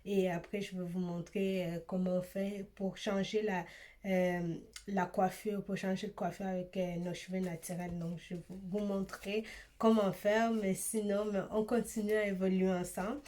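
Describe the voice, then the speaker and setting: A young woman from eastern Congo whose first language is Swahili, answering viewers' questions about her hair channel in a casual vlog, pausing often with fillers. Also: mid-range voice, little pitch movement, mellow. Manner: hesitant, many "euh" fillers and restarts. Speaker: hair care YouTuber.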